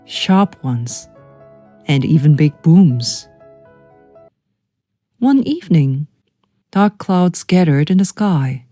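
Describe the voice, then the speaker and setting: A woman narrating a children's storybook as a storyteller, with deliberate, expressive phrasing. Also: sits low. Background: background music.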